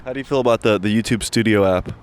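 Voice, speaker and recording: Interviewer, man, quick, direct. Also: lowish voice, clean tone. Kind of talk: casual interview questions.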